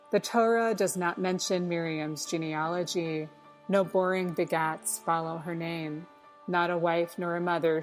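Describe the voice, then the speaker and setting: Low-voiced woman reading a personal essay. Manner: measured, short deliberate phrases. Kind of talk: scripted literary narration of a personal essay.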